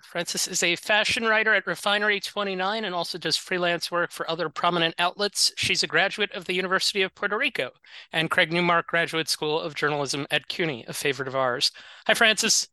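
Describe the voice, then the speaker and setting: A man's voice: high-pitched, fairly quick, bright, forceful tone, clear, resonant tone, no room sound, poor audio. A man hosting an interview podcast, reading a scripted opening and then asking short, friendly questions. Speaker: podcast host.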